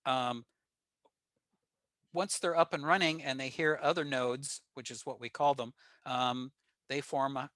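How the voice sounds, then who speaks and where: A man's voice: mid-range voice, sharp tone, no room sound. An older man with an American accent gives an informal technical presentation over a video call, explaining things plainly. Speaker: guest presenter at a radio club.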